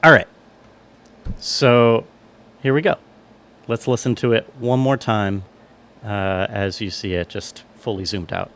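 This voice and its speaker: Low-voiced man talking through his work. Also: fairly even intonation, unhurried, pauses often, background noise. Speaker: sound designer giving a walkthrough. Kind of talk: casual tutorial talk, thinking aloud.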